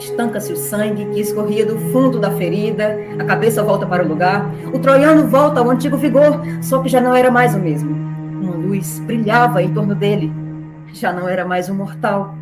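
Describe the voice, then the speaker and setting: Woman as romantic storyteller, sentimental dramatic narration, radio drama, loud music bed. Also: mid-range voice, brisk, natural voice.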